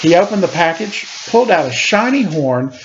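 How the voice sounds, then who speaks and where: Animated man reading a children's storybook. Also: high-pitched, normal speed. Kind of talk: storybook read-aloud with casual asides. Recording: dull home recording with faint noise. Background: faint household background noise.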